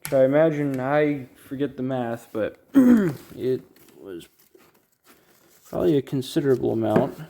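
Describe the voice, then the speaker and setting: A man with a soft-edged, relaxed voice talks casually through items as he handles them. He speaks at a middling pitch and often halts to think aloud, like a hobbyist collector hosting his own video. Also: unhurried, gravelly. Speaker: record collector, video host. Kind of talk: casual unboxing commentary.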